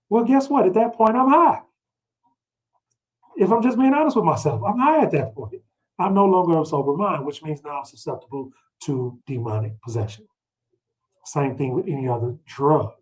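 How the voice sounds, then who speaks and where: Middle aged man doing laid back bible teaching, bit high voice, slangy, keeps asking listeners questions. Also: darkish tone, low-quality recording. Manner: colloquial, rhetorical questions and check-ins with listeners. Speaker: Bible teacher leading a read-along. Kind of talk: informal, conversational scripture teaching with personal anecdotes.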